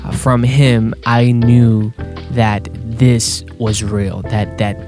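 A man hosting a faith podcast, talking earnestly and a little haltingly with fillers and restarts. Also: mid-range voice, flat delivery. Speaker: podcast host. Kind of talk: casual podcast intro. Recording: clear guitar music underneath.

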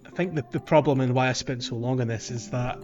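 A man's voice: medium pitch, fast, dull audio, over music. A man hosting a casual gaming podcast, talking in a hesitant, self-deprecating way. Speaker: podcast host.

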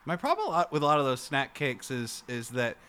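Man, bright forceful tone, mid pitch, casual comic chat, rambling, halting delivery, podcast co-host. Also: big pitch swings, clear, resonant tone.

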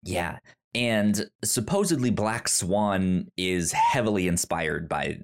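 Laid-back guy cohosting a movie podcast, drawls slow, keeps restarting his sentences. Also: fairly low pitch. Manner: relaxed, rambling, frequent restarts and laughs. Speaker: podcast co-host. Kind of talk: casual chat.

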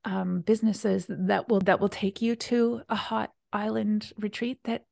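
A woman hosting a casual conversation, chatty and enthusiastic, sometimes dropping to a soft near-whisper. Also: mid-range voice, fairly even intonation, measured pace, gravelly, no echo. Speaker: retreat host.

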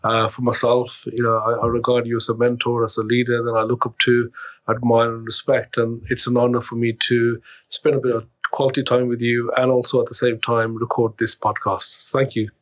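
Flat-voiced podcast host, effusive. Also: male voice, mid-range voice, brisk. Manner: effusive, repetitive phrasing. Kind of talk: interview host chat.

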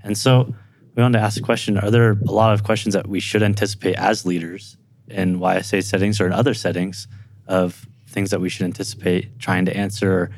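A man with a low-pitched voice hosts a discussion. He talks informally and conversationally, like someone chatting through ideas and handing questions to guests. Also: level tone, a little fast, creaky, a little mellow. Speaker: podcast host. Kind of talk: casual conversational interview.

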